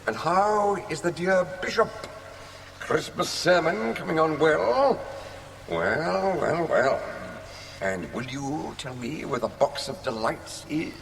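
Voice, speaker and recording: An actor playing a suave, menacing sorcerer villain in an audio drama, theatrical and mockingly polite with drawn-out threats. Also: male voice, fairly high pitch, expressive, gravelly, a bit bright, a little hiss or hum, full character voice, poor audio. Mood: mockingly genial.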